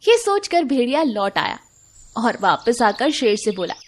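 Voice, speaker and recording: A woman's voice: a little high, sing-song, a little slow. A woman reading a scripted animal moral tale for children as narrator. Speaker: story narrator.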